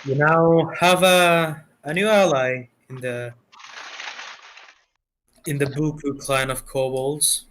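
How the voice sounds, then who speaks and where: Halting male game master, slight noise. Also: on the high side, steady tone, a bit bright, rough recording. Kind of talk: casual narration and chat running an online tabletop game. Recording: background noise with mouse clicks.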